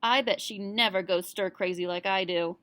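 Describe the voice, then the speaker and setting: A woman's voice: medium pitch, steady tone, normal speed, fairly bright tone, no echo, natural voice. A woman voicing a glum, envious little boy spoon in a children's storybook, plaintive and mumbling. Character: young boy spoon, whiny, envious, feeling blue.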